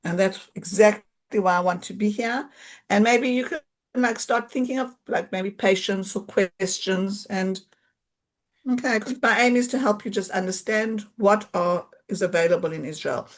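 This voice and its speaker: A woman's voice: mid-range voice, normal speed. A South African woman in her fifties, an occupational therapist, giving a loose, chatty talk over a video call and sprinkling in Hebrew words. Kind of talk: informal online presentation.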